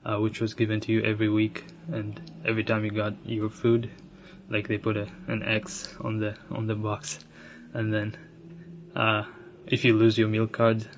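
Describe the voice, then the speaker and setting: A young man from Ethiopia telling his own story, soft-spoken and reflective, with frequent restarts and light laughs. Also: lowish voice, monotone, normal speed, a little hiss or hum. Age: young adult. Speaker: interviewee sharing his immigrant story. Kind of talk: personal storytelling.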